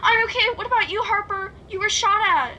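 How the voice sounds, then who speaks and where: A woman playing a gentle, hopeful, anxious young female in an amateur script read, earnest and pleading. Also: a little fast. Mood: apologetic, earnest. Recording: noisy home microphone with rumbling hiss.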